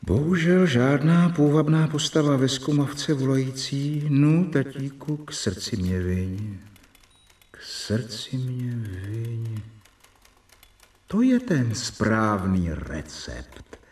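An actor playing an earnest, observant doctor who narrates his journal in a radio drama, deliberately and expressively. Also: mid-range voice, sing-song, a little mellow, close to an everyday voice. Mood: wry. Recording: roomy, distant, clear music behind.

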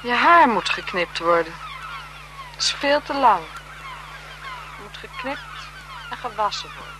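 A woman's voice: on the high side, wildly swooping pitch, a little slow, sharp tone, heavily acted. Languid haughty lady, noisy recording. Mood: irritated, imperious. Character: haughty married aristocratic lady, secretly seductive, evasive. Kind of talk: 1970s Dutch radio drama acting. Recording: noisy 1970s radio drama recording.

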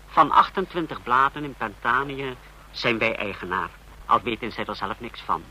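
Sharp, deferential spy chief, radio drama. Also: male voice, medium pitch, moderate pace, low-quality recording. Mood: eager, emphatic. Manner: formal, deferential, emphatic when reporting. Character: deferential but zealous male intelligence chief serving a dictator. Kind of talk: old radio play acting. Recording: old radio recording with hiss.

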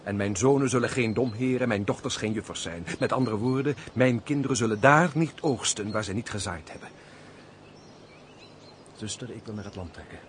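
Lovesick aristocratic major, male actor, fervent and formal, low voice, period radio play with faint hiss. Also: moderate pace, lightly acted. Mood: fervent, defiant. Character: ardent, lovesick aristocratic major, earnest and impulsive. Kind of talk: Dutch radio play, period stage dialogue.

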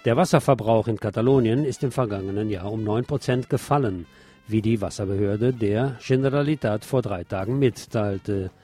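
A man's voice: lowish voice, moderate pace, mellow, soft background music. A man reading scripted radio news with some pointed commentary.

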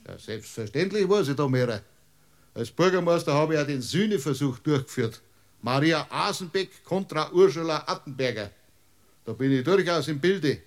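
A folksy Bavarian village mayor in a radio drama, with a slightly high-set male voice. He rambles as a witness, evasive and stubbornly literal, circling around every point in broad regional speech. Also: animated, moderate pace. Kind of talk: radio play acting.

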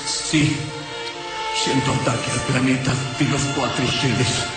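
An actor playing a gentle, sorrowful dying alien in a radio drama, speaking halting, broken sentences in short fragments. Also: male voice, mid-range voice, fairly even intonation, natural voice. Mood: wistful, reverent. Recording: echoing studio voice under loud music.

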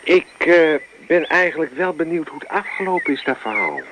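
A man's voice: fairly high pitch, expressive, normal speed, close to an everyday voice. Guy as an uneasy, thoughtful man in a radio play, hissy old broadcast. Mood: uneasy, reflective. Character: nervous man in a camper, obsessed with a horror tape.

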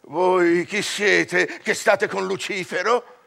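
Proud necromancer scholar, radio drama. Bright, forceful high voice, grandiose declaiming, commanding and curious. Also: male voice, fairly even intonation, takes it slowly, gravelly, full character voice. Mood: probing, curious. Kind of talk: theatrical radio drama acting in verse.

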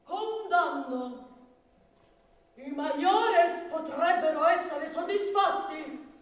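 A woman's voice: extremely high pitch, drawn-out words, heavily acted. A woman playing a pompous, imperious master who thinks himself learned, declaiming in a stage comedy. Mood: pompous pondering. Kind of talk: commedia dell'arte stage comedy. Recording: echoey hall, distant microphone.

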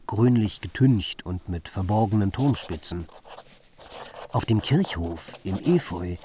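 A man's voice: fairly low pitch, a little mellow, a little hiss or hum. An actor playing a wry young bohemian man who recounts his wanderings in a musing literary radio monologue.

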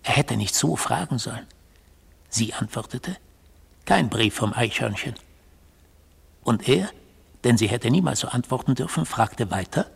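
An actor in a radio drama playing an intense, brooding man, husky and gravelly, recounting events with solemn, portentous deliberation. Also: mid-range voice, expressive, a little slow, long pauses, full character voice. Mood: intense, insistent. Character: intense, brooding male accused, obsessively recounting his pursuit. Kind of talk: literary German radio drama acting.

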